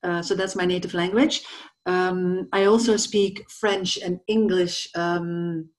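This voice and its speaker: A woman with a Flemish accent, a translator, talking about her languages in a casual interview, pausing often with fillers. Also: lowish voice, fairly even intonation. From Belgium, Flanders. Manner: thoughtful, many fillers, light self-deprecating laughs. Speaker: guest, translator.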